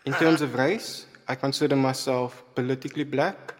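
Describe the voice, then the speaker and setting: A man speaking calm, reflective statements in an art video. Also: mid-range voice, moderate pace. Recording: art video played in a reverberant hall.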